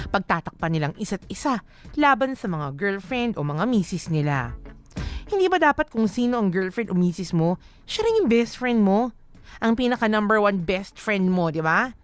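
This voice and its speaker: Female radio host, Filipino accent, medium-pitched, chatty and opinionated, music bed underneath. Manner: chatty, opinionated, slangy Taglish. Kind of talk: conversational radio commentary.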